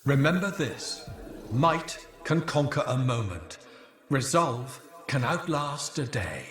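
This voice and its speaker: An actor playing a solemn, commanding legion mentor in a video game, intoning grave, measured oratory. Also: male voice, mid-range voice, sing-song, fairly bright tone. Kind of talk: cinematic video game acting. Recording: echoing, roomy, faint background noise.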